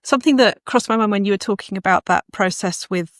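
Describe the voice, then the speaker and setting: A woman's voice: mid-range voice, fast talker, fairly bright tone, no echo. Reflective woman interviewer, dry close sound. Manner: tentative, reflective, circling questions. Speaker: host, writing coach. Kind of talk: conversational interviewing.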